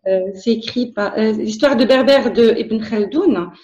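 A woman's voice: on the high side, a little slow, warm tone, highs cut off. Hesitant woman in a roomy room. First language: Arabic. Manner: hesitant, many fillers and restarts. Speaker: interview guest. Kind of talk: casual interview conversation.

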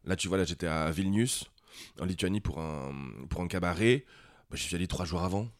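A playful man in his forties chatting as a guest. His voice sits low, and the recording is dry and close. He tells his stories with lively, animated delivery. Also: fairly quick, dry recording. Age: 40s. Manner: animated storyteller, playful, putting on voices when quoting himself. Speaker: guest, drag performer. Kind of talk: casual chat.

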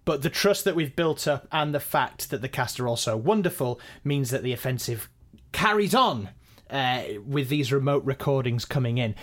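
A man with an average-pitched voice narrates a behind-the-scenes account. He sounds conversational and measured, like a director explaining his process to listeners. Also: animated, a bit bright. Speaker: podcast director and editor.

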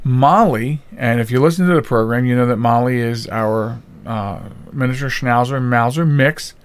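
A man's voice: medium pitch, moderate pace, clear, resonant tone. A man chatting casually as the host of a podcast. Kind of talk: casual chat.

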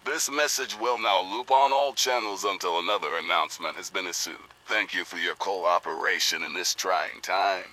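Male actor as a deep, bright-toned government alert announcer, formal. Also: moderate pace, hardly any pauses, poor audio. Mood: official. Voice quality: formal, even official reading. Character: male emergency broadcast announcer. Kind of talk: audio drama radio announcement.

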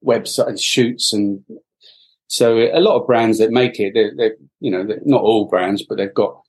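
A middle-aged man from Wales talks as a podcast guest in a low voice. He thinks aloud, circling back with fillers and restarts as he recalls the past. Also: a little slow, a little mellow, rough recording. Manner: hesitant, many fillers and restarts. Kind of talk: reflective interview talk.

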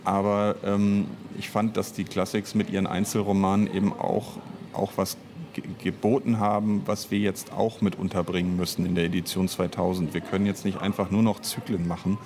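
Low-voiced man, reflective and halting. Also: level tone, background noise. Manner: thinking aloud, hesitant, self-interrupting, reflective. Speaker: audio drama writer and director being interviewed. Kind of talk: casual interview conversation.

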